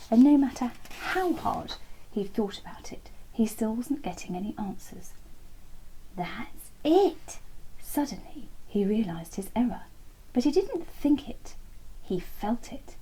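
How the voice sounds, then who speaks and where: A woman reading a bedtime story aloud in a soft, half-whispered, lilting way. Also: mid-range voice, rough recording.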